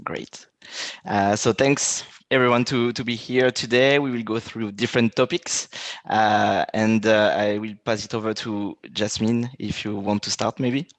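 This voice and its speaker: A man hosting an online information session, halting with frequent "uh" before phrases. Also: on the low side, little pitch movement, no room sound. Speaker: webinar host. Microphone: video call.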